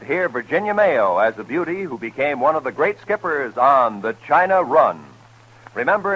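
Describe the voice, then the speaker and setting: An American man working as a 1950s network radio announcer, reading promotional copy in period broadcast style. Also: fairly high pitch. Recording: dull vintage radio broadcast.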